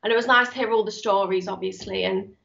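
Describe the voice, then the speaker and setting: A woman's voice: medium pitch, fairly even intonation, quick pace, gravelly, fairly bright tone, highs cut off. A young woman chatting casually and informally as an interview guest. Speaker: guest, actor's daughter. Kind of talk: casual chat.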